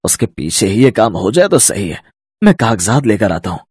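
Cartoon family patriarch, acted by a man: middle-aged, anxious, earnest, husky, dry sound. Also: on the low side, sing-song, moderate pace, darkish tone, no echo. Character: infatuated, anxious middle-aged father-in-law, later remorseful.

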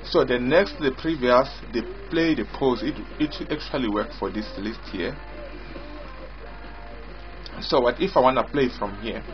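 A man giving an unscripted software walkthrough as its developer, explaining haltingly and repetitively in short phrases. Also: mid-range voice. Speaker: software developer demonstrating own app. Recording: dull lo-fi audio with loud music. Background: loud music.

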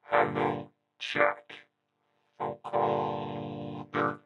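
A man demonstrating a plugin as a monotone robotic synthesizer voice through a vocoder filter. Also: drawn-out words, highs cut off, over music. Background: synthesizer tones carrying the voice.

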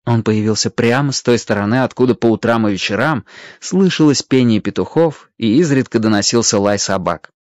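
A man narrating a scripted children's story in a measured, expressive way. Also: medium pitch, no echo.

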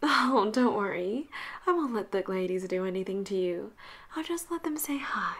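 A woman playing a flirty, possessive pirate captain in a roleplay audio drama, with a breathy voice that often drops into whispers and teasing endearments. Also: medium pitch, expressive, normal speed, fairly bright tone. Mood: flirty, protective.

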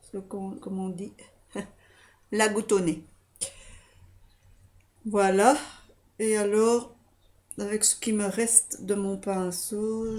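Woman, 40s, slightly congested; soft, slow, hesitant makeup-tutorial host on a close webcam in a small room. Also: medium pitch. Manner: soft, hesitant, talks while applying makeup. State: slightly stuffy nose from a cold or allergy.

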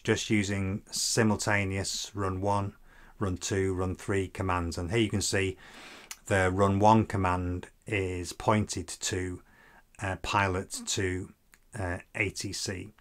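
A man in his fifties explaining software in an informal tutorial, hesitant and halting, with frequent ums. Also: lowish voice. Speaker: presenter of a flight simulator tutorial series.

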